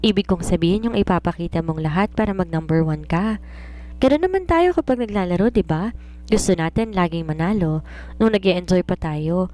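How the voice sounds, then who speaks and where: A woman's voice: fairly low pitch, animated, fairly quick, warm tone, lightly acted. A woman voicing a confident romance writer in an audiobook, giving earnest, instructive advice like a mentor. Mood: preachy. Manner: earnest, instructive, self-assured. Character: confident, preachy woman romance writer advising her maid. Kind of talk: audiobook character dialogue.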